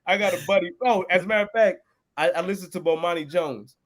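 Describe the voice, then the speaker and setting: A young man running for office, chatting casually about politics in an animated, slangy way. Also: high voice, fast talker. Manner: animated, slangy, drops into mock dialogue. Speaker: political candidate.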